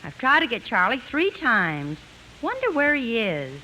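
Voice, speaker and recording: A woman's voice: on the high side, wildly swooping pitch, measured pace. An actress playing a wry, self-assured single woman in a 1950s radio comedy. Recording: hissy 1950s radio, studio audience.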